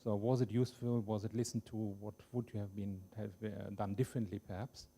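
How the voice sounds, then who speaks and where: A man's voice: on the low side, fairly even intonation, a little fast, dark tone, breathy edge. A man putting concise questions to a conference panel from the floor. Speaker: audience questioner.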